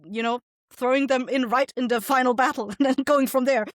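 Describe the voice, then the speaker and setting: A woman with a fairly high voice talks casually as a guest in an interview. She is thoughtful and a little hesitant, feeling for her words, in a dry recording with hardly any echo. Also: steady tone, a little fast. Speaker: interview guest, indie audio drama creator.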